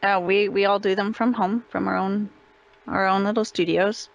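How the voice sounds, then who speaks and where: A woman's voice: mid-range voice, steady tone, moderate pace, creaky, dull audio. A woman speaking casually as an interview guest.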